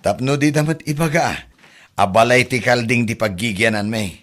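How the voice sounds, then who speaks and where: A man's voice: medium pitch, normal speed, a bit bright, lightly acted. A man with an Ilocano accent playing a modest cousin who hopes to fix up his house, in a comedy radio drama. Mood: wistful.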